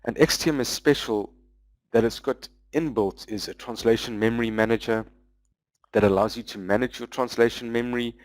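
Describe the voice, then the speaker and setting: A man giving a webinar presentation, walking through software features in a loose, explanatory way with frequent pauses. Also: mid-range voice, dry recording, poor audio. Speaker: business development manager presenting a webinar.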